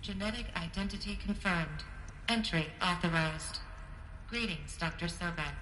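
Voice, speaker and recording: A lowish, formal facility computer. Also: female voice, moderate pace, pushed and bright, slight background noise. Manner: formal, announcing and procedural. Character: polite female facility computer voice. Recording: echoey game audio over music.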